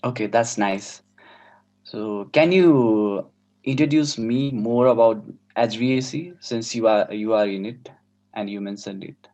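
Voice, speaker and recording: Low-voiced hesitant examiner man. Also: measured pace, a little mellow. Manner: hesitant, repeats and restarts questions. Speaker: mock examiner. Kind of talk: interview questions. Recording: dull lo-fi call audio.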